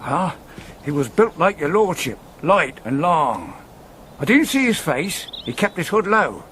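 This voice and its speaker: A man's voice: fairly high pitch, big pitch swings, a little slow, a bit bright, slight background noise. An actor playing an earnest, wary village watchman in a radio drama, plain-spoken and deferential. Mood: uneasy recollection.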